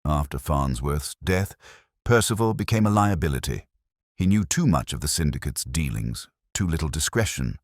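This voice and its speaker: Man acting as a composed Victorian sleuth, extremely low voice, animated swoops, theatrical, precise, storybook detective. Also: moderate pace, dry recording, clean studio sound. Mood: composed, lecturing. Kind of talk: audiobook detective story dialogue.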